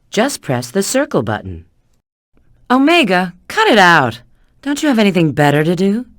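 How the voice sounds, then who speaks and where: A cool, flirty female bat treasure hunter from a video game. She gives knowing instructions in a very lively, swooping delivery. The sound is close and dry. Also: low-pitched, no room sound. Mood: annoyed. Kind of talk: video game character voice acting.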